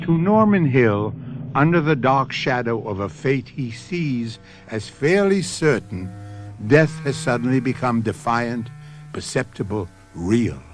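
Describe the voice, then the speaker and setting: A man hosts a radio mystery show and narrates it in slow, measured, dramatic phrases. His pitch sits at a middle level and moves widely. He lingers on each word. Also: expressive, soft background music. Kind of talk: scripted narration.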